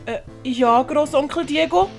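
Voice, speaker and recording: A woman with a Swiss German accent voicing a naive, eager young boy in an audio story, halting and wide-eyed. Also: high-pitched, creaky, soft background music, voice pushed up. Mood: timid.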